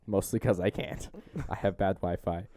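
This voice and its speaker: A man's voice: low voice, moderate pace, mellow. A young man hosting a podcast in casual, jokey chat, often breaking into laughter. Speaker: podcast host.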